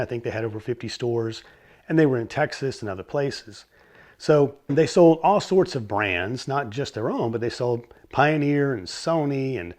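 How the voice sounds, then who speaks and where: Hoarse man hosting a gear talk. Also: mid-range voice. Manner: relaxed, rambling with fillers and self-corrections. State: hoarse from a cold. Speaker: host of a vintage stereo channel. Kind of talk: casual explainer talk.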